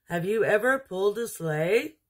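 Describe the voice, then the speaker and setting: A kindly old gift-giver, voiced by a woman storyteller, jolly and hearty. The delivery is slow and reassuring, with a husky edge, made for a children's storybook. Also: medium pitch, animated.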